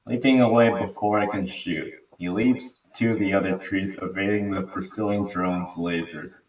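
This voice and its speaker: Low-voiced man narrating, roomy and distant. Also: steady tone, unhurried. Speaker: narrator of a fan table read. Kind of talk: amateur script narration. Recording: roomy, distant mic with faint noise.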